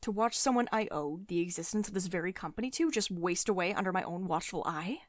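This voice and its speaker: A woman's voice: on the low side, animated, fast talker, no echo, natural voice. A woman playing a commanding, protective tech CEO who is secretly devoted and tender, in an intimate ASMR roleplay monologue. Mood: agitated, protective.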